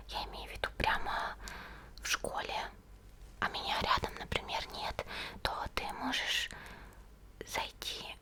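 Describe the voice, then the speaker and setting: A woman voicing a gentle young monster schoolgirl in a soft, breathy, slow ASMR roleplay whisper, close to the microphone. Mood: reassuring. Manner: slow, soothing, hesitant musing with short pauses.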